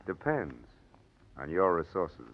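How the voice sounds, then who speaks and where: An actor playing a menacing, smug blackmailer in an old radio drama, taunting with mock-friendly wheedling. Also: male voice, deep voice, sing-song, takes it slowly, exaggerated voice. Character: menacing, smug male blackmailer, mockingly sweet. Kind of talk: 1940s radio drama acting. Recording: muffled old radio broadcast recording.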